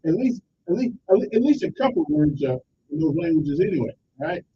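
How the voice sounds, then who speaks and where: A man's voice: on the high side, sing-song, fairly quick, lo-fi sound, a little hiss or hum. A man hosting a radio talk show with a Southern US accent, rambling and joking, often tagging his points with "okay?". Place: radio studio.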